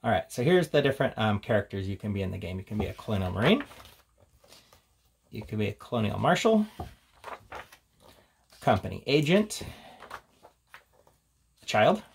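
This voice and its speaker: A man's voice: lowish voice, big pitch swings, moderate pace, gravelly, long pauses, a little hiss or hum. A man hosting a casual, unscripted tabletop game walkthrough, thinking aloud hesitantly as he goes. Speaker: host of a tabletop gaming channel.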